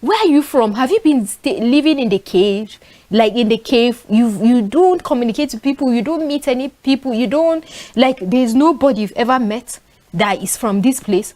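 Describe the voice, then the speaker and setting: This young woman speaks with a Nigerian accent as a guest on a talk show panel. Her voice sits a little high, and she tells her stories with animation, often stopping mid-thought with fillers. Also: normal speed. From northern Nigeria. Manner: animated storytelling with frequent fillers. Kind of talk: casual panel discussion.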